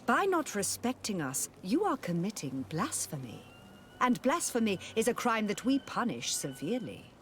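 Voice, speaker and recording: A woman's voice: medium pitch, big pitch swings, normal speed, a bit bright, a little hiss or hum. A woman playing a stern, sanctimonious missionary in a video game, haughty and preachy in delivery. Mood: self-righteous, stern. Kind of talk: video game cutscene acting.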